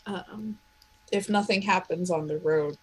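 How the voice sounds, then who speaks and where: A woman's voice: on the low side, measured pace, slightly rough, low-quality recording. A woman playing a tabletop role-playing game, chatting casually as she describes what her character does.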